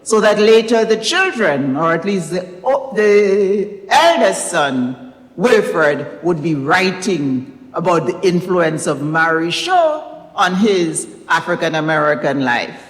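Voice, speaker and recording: A woman giving a prepared literary talk, speaking in measured, deliberate phrases with pauses. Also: lowish voice, very animated. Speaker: author presenting own novel. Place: recital hall, indoors. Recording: echoey recital hall with long reverb.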